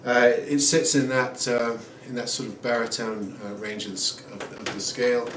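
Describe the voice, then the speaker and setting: A man in his forties giving a relaxed home guitar review, rambling with many ums and uhs. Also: mid-range voice, normal speed. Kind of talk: casual product review. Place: small room at home, indoors. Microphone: camera mic at a distance. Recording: roomy, distant camera audio with noise. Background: acoustic guitar playing.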